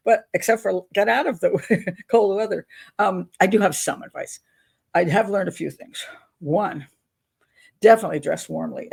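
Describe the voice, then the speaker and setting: A woman's voice: medium pitch, creaky, a little mellow, slightly rough. An older woman hosting a casual advice vlog, talking in a chatty, candid, rambling and self-deprecating way. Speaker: advice show host on YouTube.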